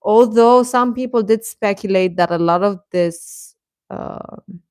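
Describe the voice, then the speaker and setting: A woman hosting a casual fan livestream, rambling and halting as she replies to comments, often with a chuckle in her talk. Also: mid-range voice, a little mellow, no echo, studio quality. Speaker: host of a K-pop fan channel.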